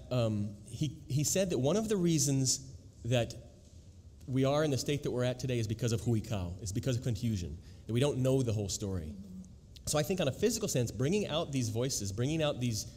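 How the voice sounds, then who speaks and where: A man, a researcher of language newspapers, answering on a panel in a quick, reflective way with many restarts. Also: medium pitch, darkish tone. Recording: long hall reverb, faint noise.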